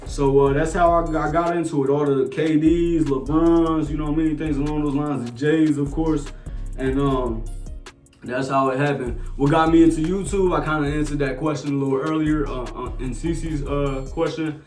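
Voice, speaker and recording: A man's voice: a little high, fairly even intonation, moderate pace, a little mellow, distant mic. A man in his twenties from New Jersey with a Jersey accent, casually answering viewer questions in a laid-back, slangy way full of fillers. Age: 20s. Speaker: sneaker YouTuber. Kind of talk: casual Q&A vlog. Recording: echoey room, off-mic, faint music.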